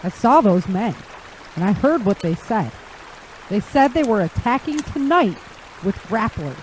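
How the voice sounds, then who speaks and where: A woman's voice: mid-range voice, very animated, unhurried, a little mellow, a little hiss or hum, rough recording. A woman playing a wary, determined young traveler, earnest and firm, in an audio drama.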